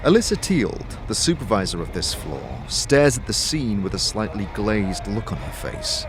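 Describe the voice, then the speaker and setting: Low-pitched man narrating fantasy. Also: big pitch swings, moderate pace. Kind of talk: scripted second-person fantasy narration. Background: music.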